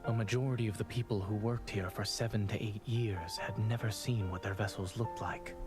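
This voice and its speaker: An actor playing a composed, cold, straightforward doctor in a video game, speaking in a measured, businesslike way. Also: male voice, on the low side, fairly even intonation, lightly acted. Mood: matter-of-fact. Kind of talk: scripted video game dialogue. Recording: game music behind the voice.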